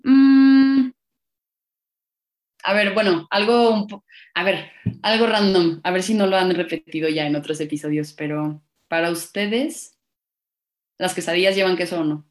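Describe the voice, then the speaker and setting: Young woman from Monterrey, Mexico City accent, low-pitched voice, playful upbeat guest chatter. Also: measured pace, pauses often. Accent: Mexico City Spanish. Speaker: podcast guest. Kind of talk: casual chat.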